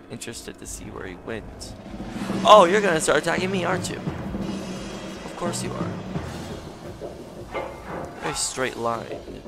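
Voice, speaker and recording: A man with a raspy voice, hoarse from being sick, giving casual gameplay commentary, chatty, laughing often, with sudden excited outbursts. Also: fairly high pitch, unhurried, pauses often, loud music behind the voice. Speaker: gaming YouTuber.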